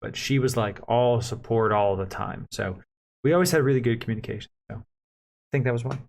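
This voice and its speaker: A man's voice: medium pitch, fairly quick, vocal fry, slight background noise. A man co-hosting a casual couple's Q&A, playful and talkative, reading the questions aloud. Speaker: co-host husband.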